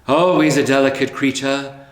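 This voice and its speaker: A gentle spirit read by a man speaks evenly and calmly, close to the reader's own voice. Each line comes out measured. The recording is roomy. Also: mid-range voice, lightly acted. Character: calm spirit, gentle and quietly probing. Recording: roomy echo.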